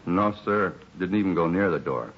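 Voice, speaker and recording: A put-upon American bar worker grumbles in a low, rough-edged voice. He rambles in a plainspoken, resigned way, in 1950s radio drama style, on a dull old recording. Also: expressive, moderate pace. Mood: shaken, earnest. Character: weary, put-upon male bar employee, grumbling witness.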